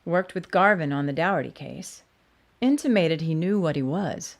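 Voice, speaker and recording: Skeptical woman doctor, lowish voice, lively intonation, weary, dry, audio drama. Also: normal speed. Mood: wry, uneasy. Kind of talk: scripted audio drama acting.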